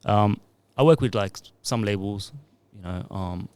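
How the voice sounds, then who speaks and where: A man's voice: lowish voice, unhurried, darkish tone, clear, resonant tone, dry recording. A man in his thirties, a music producer and DJ, talking in a laid-back, rambling way into a podcast mic. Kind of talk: casual podcast interview.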